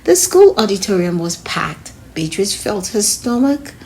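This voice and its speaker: A woman reading a children's story aloud in an expressive way, speaking to her young listeners as a host. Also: medium pitch, unhurried, faint music behind. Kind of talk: storybook read-aloud narration.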